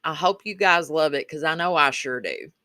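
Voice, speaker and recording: A woman's voice: sits low, lively intonation, moderate pace, pushed and bright, no room sound, studio quality. A woman with a rural Kentucky Southern accent narrating a casual craft tutorial as the channel's host, in a folksy, chatty way. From Kentucky, rural United States.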